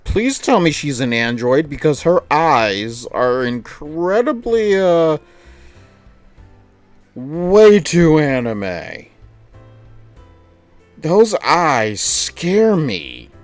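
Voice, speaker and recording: A woman reads a visual novel aloud on a livestream, taking her time. Her pitch moves around a lot as she slips into amused, exasperated reactions. Game music plays faintly behind her. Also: sing-song, no echo. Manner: amused, exasperated asides between deliberate reading. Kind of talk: livestream playthrough, reading and reacting casually.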